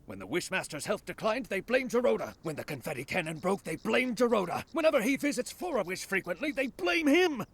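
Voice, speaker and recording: An actor voicing an excitable, scheming, bookish sprite uncle in a video game, with a dramatic, indignant manner. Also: medium pitch, fairly quick, hardly any pauses, slightly rough. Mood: indignant outrage. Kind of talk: theatrical video game voice acting.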